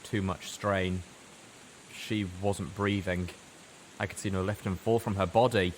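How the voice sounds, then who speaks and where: A man narrating first-person fiction dramatically, with weighty delivery and deliberate pauses. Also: low-pitched, steady tone. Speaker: story narrator. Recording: faint background hiss.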